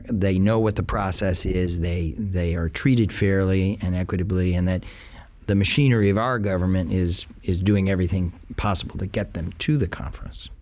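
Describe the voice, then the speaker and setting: A man's voice: low voice, darkish tone. A middle-aged man hosting an interview, asking long, rambling questions with fillers.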